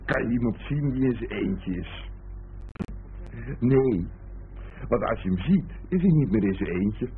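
A man's voice: medium pitch, expressive, a little slow, long pauses, slight background noise. An actor in a Dutch radio play as a dreamy, teasing man who rambles playfully and philosophizes, on a muffled old recording. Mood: playful rambling. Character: dreamy, teasing idler musing about an unfinished boat.

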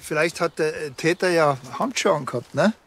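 Gravelly, grumbling cop. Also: male voice, a little high, moderate pace, a bit bright, exaggerated voice. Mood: wary. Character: grumbling, impatient, dry male Bavarian police detective. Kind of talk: radio drama acting.

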